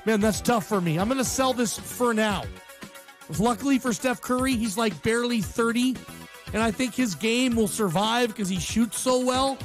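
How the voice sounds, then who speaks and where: An American man with a notably high-pitched voice hosts sports talk radio. He comments casually and with strong opinions, using plenty of slang and repeating himself for emphasis. Faint music plays behind him. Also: moderate pace. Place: radio show.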